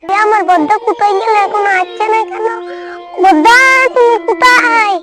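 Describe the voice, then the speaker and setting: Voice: very high-pitched, moderate pace, a bit bright. A performer playing a frightened small child who calls out pleadingly, over and over, in an amateur comedy horror skit. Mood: anxious, scared. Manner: pleading, repetitive calling out.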